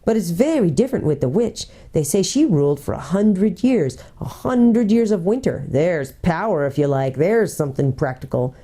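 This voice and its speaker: A woman's voice: on the low side, animated, normal speed, hardly any pauses, dark tone, husky. A woman voicing a bitter, defiant male dwarf in a storybook, argumentative and sour, with a snapping, sneering manner.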